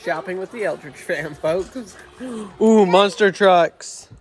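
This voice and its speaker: A man's voice: high-pitched, slow pace. A congested man chatting to his handheld camera as he narrates a family errand run through a farm supply store. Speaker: family vlogger. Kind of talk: casual vlog chat.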